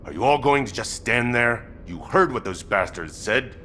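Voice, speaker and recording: An actor playing a gruff, pragmatic squad commander in a video game, blunt and commanding. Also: male voice, medium pitch, expressive, moderate pace, sharp tone, slight background noise. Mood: commanding, impatient.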